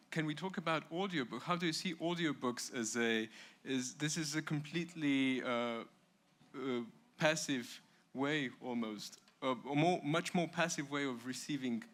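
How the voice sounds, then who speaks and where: A man's voice: mid-range voice, fairly bright tone. A man moderating a panel, putting unscripted questions hesitantly and restarting them several times.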